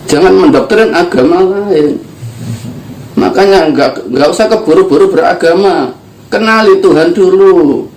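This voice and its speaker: A man's voice: high-pitched, a little slow, darkish tone. An older man from East Java, giving an earnest, emphatic religious teaching talk. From East Java, Indonesia. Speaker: spiritual teacher. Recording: echoey room.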